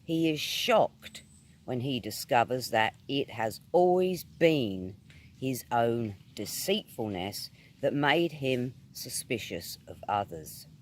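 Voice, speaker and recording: A man with a British accent reading a spiritual essay aloud in a measured, emphatic, oratorical manner. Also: mid-range voice, lively intonation, a little hiss or hum. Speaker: reader of metaphysical essays.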